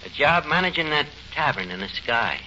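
A man's voice: fairly high pitch, big pitch swings, moderate pace, sharp tone, full character voice. A man playing an anxious, blustering tavern manager with a New York accent and slangy bad grammar in a 1940s radio comedy. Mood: mock-tragic. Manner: malapropisms, slangy ungrammatical bluster. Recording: muffled, noisy 1940s radio recording.

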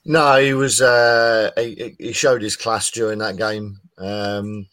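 A man co-hosting a casual chat, giving earnest, measured opinions. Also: on the low side, level tone, fairly bright tone, dry recording. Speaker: co-host.

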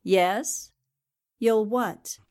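A woman's voice: medium pitch, very slow, a little mellow. A woman playing a determined, shrewd newspaperwoman sleuth, questioning formally in a 1940s-style radio drama. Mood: cool.

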